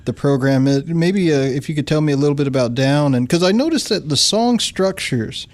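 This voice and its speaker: A man's voice: on the high side, animated, brisk. A man hosting a radio interview in a studio, asking long, curious questions and affirming his guest. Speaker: radio show host. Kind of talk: interview conversation.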